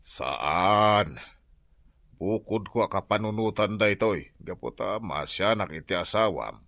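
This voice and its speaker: A man's voice: fairly low pitch, expressive, slow, vocal fry, a bit bright, no echo, exaggerated voice. An older man with an Ilocano accent playing an indignant, nagging father-in-law who scolds in a radio drama. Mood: reproachful.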